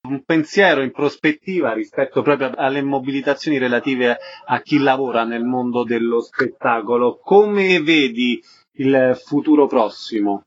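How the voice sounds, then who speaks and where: A man's voice: mid-range voice, normal speed. A man hosting a radio interview, putting prepared questions to guests. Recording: dull lo-fi radio sound.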